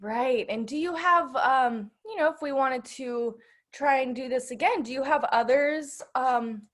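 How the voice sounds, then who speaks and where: A woman casually hosting an online event, speaking informally and haltingly, with appreciative remarks and questions. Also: sits high. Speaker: host of an online bookstore event.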